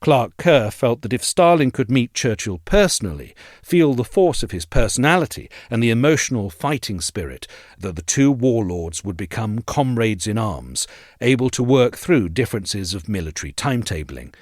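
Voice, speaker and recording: A male narrator reads formal prose in a low voice, with wide, expressive swings of pitch. His delivery is measured and articulate, and the recording is dry and close. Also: dry recording. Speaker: narrator reading an essay aloud. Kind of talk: scripted narration of a history essay.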